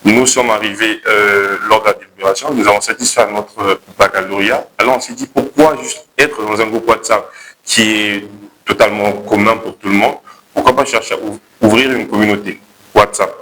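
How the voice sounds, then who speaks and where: Bright-voiced young Congolese man over background noise. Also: fairly low pitch, steady tone, moderate pace, rough recording. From Republic of the Congo. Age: young adult. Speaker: contest candidate pitching a project. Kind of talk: spoken pitch to a jury.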